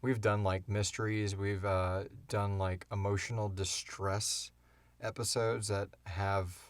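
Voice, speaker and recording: A man's voice: on the low side, little pitch movement, takes it slowly, dry recording. A middle-aged man hosting a podcast, chatting casually in a rambling, informal, self-deprecating way. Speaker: podcast host and audio drama creator. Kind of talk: casual Q&A chat. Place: home podcast studio.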